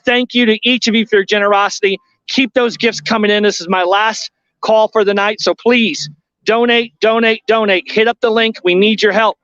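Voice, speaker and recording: A man's voice: high-pitched, fairly even intonation, normal speed, a bit bright, clean tone, dry recording, dull audio. A man hosting a live fundraiser, speaking earnestly and pleadingly and repeating words for emphasis. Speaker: host of a theater fundraiser. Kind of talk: live donation appeal.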